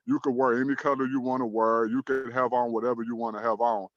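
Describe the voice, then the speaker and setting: Earnest thirty-something talk show host. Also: male voice, mid-range voice, level tone, dry recording, muffled recording. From Dallas, Texas. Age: 30s. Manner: measured, earnest, uses fillers like "um" and "uh". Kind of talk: online talk show discussion.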